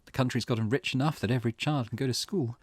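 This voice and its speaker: A man's voice: medium pitch, fast talker, husky, no echo, lightly acted. A man voicing a weary, grieving middle-aged mother from a visual novel, reading her old-fashioned, wistful thoughts aloud.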